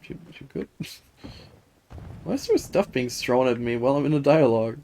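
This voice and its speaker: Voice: medium pitch, animated, normal speed, dark tone. A streamer giving casual, dry and wry live commentary while playing a game and reading its dialogue aloud. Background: faint game music.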